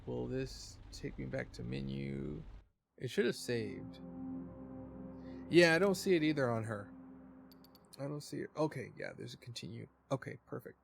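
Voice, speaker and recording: Hoarse man reading a game aloud. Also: mid-range voice, normal speed, pauses often. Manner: laid-back, chatty. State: hoarse, losing his voice. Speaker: streamer. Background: loud game music.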